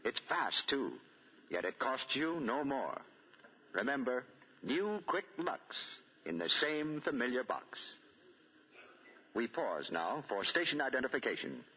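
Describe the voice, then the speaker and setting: A man's voice: mid-range voice, sing-song, takes it slowly, pushed and bright, slightly rough. A man announcing on 1940s radio, with polished, emphatic commercial salesmanship. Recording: muffled 1940 radio broadcast.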